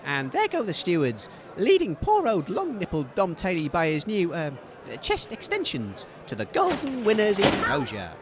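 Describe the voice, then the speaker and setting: Man as an excitable, very high-pitched commentator. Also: moderate pace, few pauses, background noise. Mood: chatty. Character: excitable, chatty male radio sports commentator in wasteland. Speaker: radio co-host. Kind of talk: live commentary in comedy audio drama. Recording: outdoor event with crowd behind.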